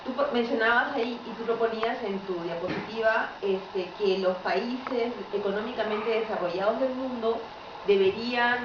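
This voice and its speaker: A woman's voice: mid-range voice, distant mic. A woman from Peru asking a question from the audience at a lecture, hedging and hesitant, often tagging her sentences with "¿no?". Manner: hesitant, hedging, fillers like "¿no?" and "este". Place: lecture room at a university. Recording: dull, echoey room audio from afar, with clear noise.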